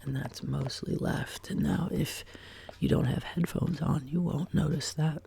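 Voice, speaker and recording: A woman hosting a soft-spoken ASMR chat, sick and sounding lower than usual, drifting between quiet speech and whispers in her home studio. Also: unhurried, gravelly, a little hiss or hum. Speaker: ASMR channel host. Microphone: binaural desk microphone.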